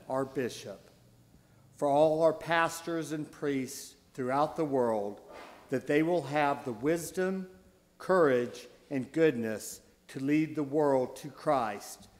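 Older man, deacon at the lectern, reads slowly and deliberately, roomy church sound. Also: on the high side, darkish tone. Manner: slow, deliberate reading with pauses. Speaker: deacon reading intercessions. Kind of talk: reading prayers of the faithful. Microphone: lectern mic. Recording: reverberant church hall.

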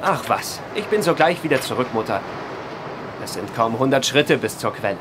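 An actor playing a reluctant, cheeky young farm son in a radio drama, sardonic and protesting. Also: male voice, medium pitch, lively intonation, normal speed, lightly acted. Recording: noisy ambient background.

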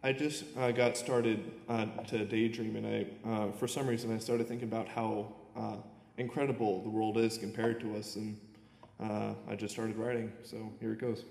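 A man's voice: lowish voice, level tone, moderate pace, vocal fry, darkish tone. A young man speaking at a podium as a poet, presenting his own work in an informal, slightly hesitant way. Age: young adult. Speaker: poet reading own poems. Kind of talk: live poetry reading with informal introductions. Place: indoor auditorium at a podium. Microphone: gooseneck podium microphone. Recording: echoey auditorium, long reverb, background noise.

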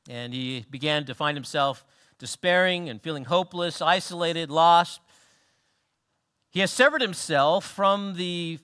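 A middle-aged man preaching a sermon at a lectern in a church, earnest and swinging between soft low passages and emphatic rises. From New Zealand. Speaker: pastor. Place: church hall with wooden wall.

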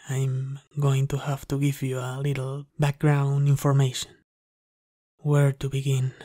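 A man playing an obsessive, polite vampire lab technician in a slow, intimate ASMR roleplay, speaking softly and haltingly. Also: medium pitch, no room sound, studio quality, lightly acted. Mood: admiring, secretive. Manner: hushed, halting, deliberately polite. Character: soft-spoken obsessive male vampire lab technician, courteous, menacing.

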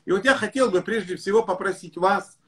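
A man in his sixties, a pediatrician hosting a live stream from his home study, talking in a warm, effusive, enthusiastic way. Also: high-pitched, brisk. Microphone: webcam or phone on a video call.

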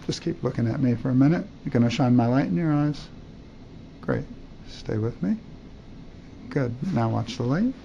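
An older male doctor runs a clinical exam in short, encouraging commands. His voice is mellow and creaky, picked up a little dull and noisy in a hospital room. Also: medium pitch, lively intonation, normal speed, long pauses. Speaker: doctor examining a patient.

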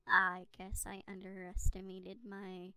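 Slow-talking devoted young girlfriend, acted by a woman. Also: medium pitch, completely flat delivery, sharp tone, smooth, dry recording. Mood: dismayed, self-pitying. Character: devoted young girlfriend, eager then self-pitying in rain. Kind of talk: slow ASMR roleplay monologue. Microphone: close USB condenser microphone.

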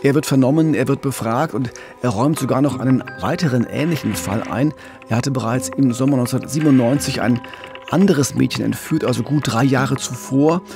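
Gravelly German man telling true crime. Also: mid-range voice, a little fast, music in the background. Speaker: podcast co-host. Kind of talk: conversational true-crime storytelling.

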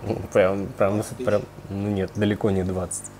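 A man's voice: on the low side, little pitch movement, unhurried, crowd noise. A man hosting a casual video tour in informal, slangy, enthusiastic speech, indoors. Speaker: host of an aquarium video series. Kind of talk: casual vlog tour chat. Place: indoors, room with large aquariums. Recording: noticeable background noise.

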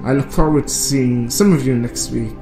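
An actor playing a serene, condescending, passive-aggressive yoga instructor leading a class in a radio drama. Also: male voice, mid-range voice, normal speed, close to an everyday voice. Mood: smugly serene. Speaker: yoga teacher. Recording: roomy echo, music behind.

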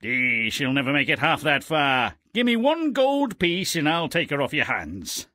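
A man's voice: a little high, wildly swooping pitch, measured pace, bright, forceful tone, no room sound, studio quality, heavily acted. A man voicing a sly swindler in a fairy-tale video game, with a wheedling salesman's patter. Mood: sly, haggling. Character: sly, wheedling swindler trading magic beans. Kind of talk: video game character acting.

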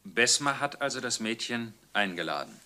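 An actor playing a brisk police detective in a crime radio play, asking matter-of-fact questions. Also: male voice, mid-range voice, expressive, pushed and bright. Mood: businesslike. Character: brisk male police detective taking statements. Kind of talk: dramatic radio play acting.

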